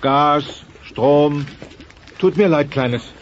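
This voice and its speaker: An actor playing a blustering middle-aged dinosaur father with a creaky voice in an audio drama, hesitant and doting by turns. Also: a little high, extremely slow. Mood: jovial. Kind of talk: German children's audio drama acting. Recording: dull, slightly noisy cassette transfer.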